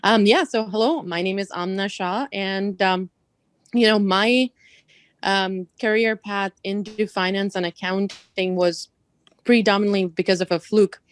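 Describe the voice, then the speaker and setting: A woman's voice: medium pitch, fairly even intonation, a little slow, no echo. A woman in finance and accounting talking on a video call from her office, upbeat and chatty with many fillers. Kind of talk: panel talk on a video call.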